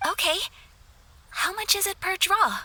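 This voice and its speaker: Ayaka, a gracious young noble. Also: female voice, very high voice, expressive, unhurried, exaggerated voice. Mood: gentle. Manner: formal, gentle and humble, a little flustered. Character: graceful, polite young noblewoman, modest and shy. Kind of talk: game cutscene voice acting.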